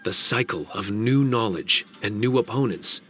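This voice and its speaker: A man voicing an ancient male guardian spirit in a video game cutscene, formal, measured and grave. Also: fairly low pitch, pushed and bright, soft background music. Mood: solemn.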